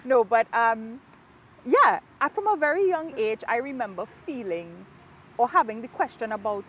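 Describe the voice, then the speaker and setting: A woman from Trinidad and Tobago with a Trinidadian accent, an author talking about her book in an outdoor interview in a park, reflective and quick to laugh. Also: on the high side, lively intonation, slight background noise. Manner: warm, reflective, laughs easily. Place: outdoors in a park, rain falling.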